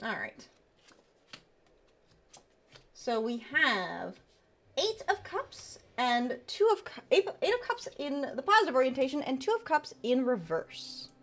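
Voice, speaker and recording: A woman's voice: sits high, big pitch swings, measured pace, long pauses, a little hiss or hum. A woman VTuber streamer chatting casually with her viewers and giving tarot readings. She laughs often and trails off and restarts as she talks.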